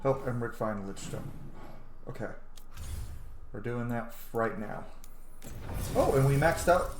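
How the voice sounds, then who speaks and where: A man in his thirties giving relaxed, casual commentary while playing a game at home. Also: medium pitch, unhurried, background noise. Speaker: game streamer. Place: small room at home, indoors. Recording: echoey room, game music behind.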